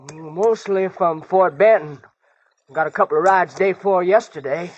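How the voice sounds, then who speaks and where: An actor playing a polite, eager teenage runaway with an American accent and a folksy, hesitant way of talking, in a radio western. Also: male voice, high voice, moderate pace, no room sound. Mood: shy, hopeful. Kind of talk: 1950s radio drama acting. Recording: dull vintage 1950s radio recording.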